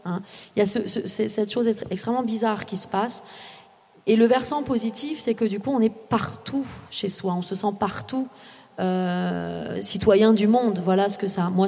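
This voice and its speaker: A woman's voice: medium pitch, darkish tone, long reverb tail. A woman writer on a panel who speaks thoughtfully, openly weighing both sides of the question. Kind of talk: panel discussion.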